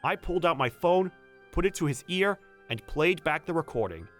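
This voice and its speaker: A man's voice: a little high, expressive, moderate pace, fairly bright tone, soft background music. An actor playing an earnest, protective young male manager who narrates a romcom manga dub in first person. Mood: steady narration. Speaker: narrator and lead character.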